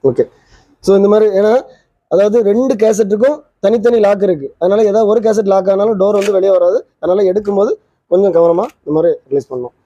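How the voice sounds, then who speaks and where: A man's voice: sits high, mellow, slight background noise. A man hosting his channel, casually demonstrating a vintage twin-cassette tape recorder and pointing out its parts. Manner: quick, pointing out parts as he goes. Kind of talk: casual product demo. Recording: dry, dull lo-fi sound.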